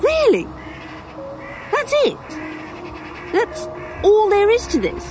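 A woman's voice: extremely high pitch, huge pitch swings, takes it slowly, a little mellow, slight background noise, exaggerated voice. A woman playing a defiant, weary dead writer in an audio horror drama, with a British accent and a dry, wry delivery. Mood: exasperated.

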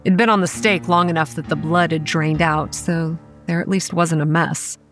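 Horror podcast lead: a hot-headed young woman running a campground, lower register, earnest dramatic narration with bite, over faint music. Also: fairly even intonation, moderate pace, a bit bright. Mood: brooding. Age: young adult. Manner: earnest, dramatic storytelling with sardonic edge. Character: young woman campground manager, guarded, hot-tempered, stubborn. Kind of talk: horror audio drama, first-person narration and dialogue.

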